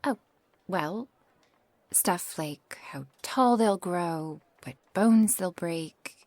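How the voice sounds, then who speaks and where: A woman playing a flustered, earnest young witch who reads tarot, in an ASMR roleplay with a halting manner. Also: lowish voice, animated, darkish tone, no room sound. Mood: earnest, chatty. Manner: halting, breathy asides, nervous little laughs and sighs. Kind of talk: ASMR roleplay acting, addressed to the listener.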